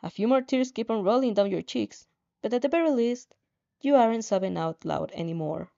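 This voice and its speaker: A woman's voice: on the high side, lively intonation, brisk, creaky, mellow. A woman narrating fan fiction as a dramatic story reading, on slightly dull audio.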